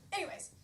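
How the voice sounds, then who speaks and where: A woman's voice: extremely high pitch, gravelly. A woman improv comedian, giggly, making up comic narration and scenes live on a theatre stage. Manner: giggly, laughing between phrases. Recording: echoey noisy stage recording from far off.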